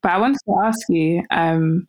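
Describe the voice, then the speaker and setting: A woman's voice: on the low side, normal speed, a little mellow, rough recording. A woman interviewing a guest, asking curious questions in a casual way with some fillers.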